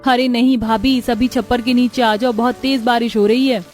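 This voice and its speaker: A woman's voice: on the high side, fairly even intonation, normal speed, a little mellow, close to an everyday voice. A woman voicing women of an Indian family in a Hindi cartoon story, kind and homely in temper. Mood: concerned. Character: warm, family-minded young Indian daughters-in-law and older women in-laws. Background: music.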